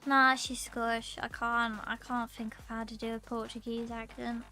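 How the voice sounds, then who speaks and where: High bright-voiced girl streamer, swears a lot, chill chatty vibe, faint game music. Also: level tone, moderate pace. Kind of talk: casual gameplay chat.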